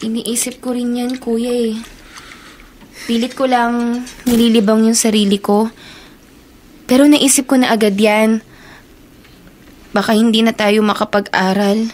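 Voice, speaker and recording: Woman acting a high-voiced Filipino schoolgirl sister. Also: little pitch movement, unhurried, long pauses, darkish tone, lightly acted. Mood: wistful, worried. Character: worried young schoolgirl, chatty younger sister. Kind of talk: Filipino radio drama acting. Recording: noisy, clinking dishes behind.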